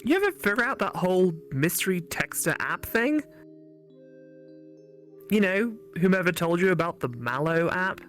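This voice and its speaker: Woman playing a lively, skeptical best friend. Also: on the low side, normal speed, soft background music. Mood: friendly, curious. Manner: sarcastic, animated. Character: teasing, skeptical female best friend back from travels.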